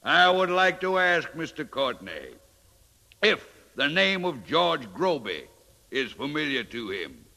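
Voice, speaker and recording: A gravelly, forceful pompous old senator, his voice ringing in a long hall echo. He orates slyly and grandly in the florid style of fifties radio drama. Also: on the high side, very animated, heavily acted. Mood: accusing. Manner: slow, florid, grandiose speechifying. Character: pompous, sly older male senator making a speech. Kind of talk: 1950s radio drama political oratory.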